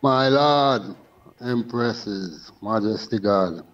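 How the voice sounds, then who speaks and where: A man's voice: lowish voice, very slow. Older male guest, Jamaican accent, Rastafarian priest, casual and reverent, distant, slightly muffled recording. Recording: distant, slightly dull remote sound.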